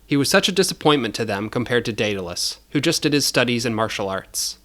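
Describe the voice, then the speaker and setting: A man's voice: medium pitch, animated, fairly quick, bright, forceful tone. A man narrating scripted fiction as a storyteller, in a dramatic style.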